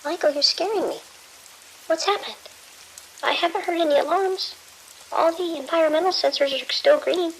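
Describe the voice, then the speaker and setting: A woman's voice: very high voice, moderate pace, long pauses, rough recording. An actress playing a worried female medical researcher in a horror audio drama. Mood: puzzled, anxious. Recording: faint hiss and rain-like noise.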